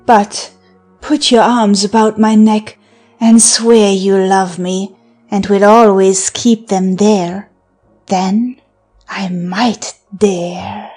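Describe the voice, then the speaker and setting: A woman performs a teasing enchantress who taunts her lover in a theatrical verse drama. She speaks very slowly at an ordinary female pitch, with mockery and seduction in her delivery. Soft music sits behind the voice. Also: steady tone. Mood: coy, teasing. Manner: theatrical, taunting, breaking into laughter and sighs. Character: teasing, mocking seductive woman of magical wiles. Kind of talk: verse drama audiobook acting.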